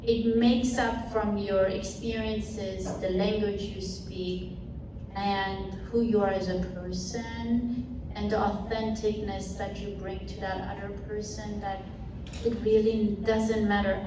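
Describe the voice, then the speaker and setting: A woman speaking slowly and earnestly as a panelist at a live event. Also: mid-range voice, steady tone. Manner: slow, deliberate, earnest. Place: event hall. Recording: very echoey hall, far off, background noise.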